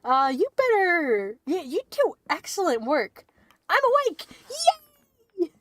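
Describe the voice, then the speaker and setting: A woman's voice: high voice, very animated, slow, fairly bright tone. A young woman streaming a game, chatting casually, thinking aloud and giggling often. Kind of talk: casual game commentary, reading dialogue aloud.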